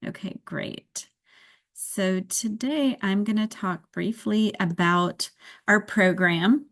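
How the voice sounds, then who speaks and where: A woman program director hosting an online open house, giving a measured, explanatory presentation to prospective students. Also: medium pitch, a bit bright. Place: video call.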